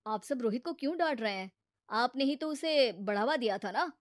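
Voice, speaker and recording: Woman as a sensible, fairly high-voiced brother-in-law. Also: lively intonation, normal speed, no echo, studio quality, lightly acted. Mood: reassuring. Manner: earnest, advising. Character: sensible, patient brother-in-law, a married working man. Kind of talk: animated moral story character acting.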